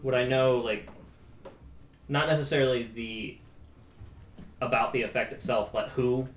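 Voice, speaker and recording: A man's voice: medium pitch, steady tone, unhurried, a little hiss or hum. Tentative man playing a tabletop game. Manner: thinks aloud, tentative, with ums. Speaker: player. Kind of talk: casual table talk. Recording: roomy room with music under.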